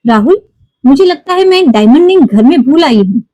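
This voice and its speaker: A woman's voice: high voice, animated, moderate pace, mellow, dry recording, clean studio sound. Woman acting a bossy, suspicious madam of the house. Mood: suspicious, hurried.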